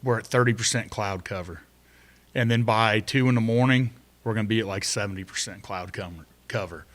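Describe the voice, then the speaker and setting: A man's voice: lowish voice, a little fast, fairly bright tone. A man hosting a podcast in an easygoing, plain-spoken way. He lays out the conditions and the plans for the night step by step, with loose fillers along the way. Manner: plain-spoken, laid-back, talks through conditions and plans. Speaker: podcast host. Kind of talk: casual chat.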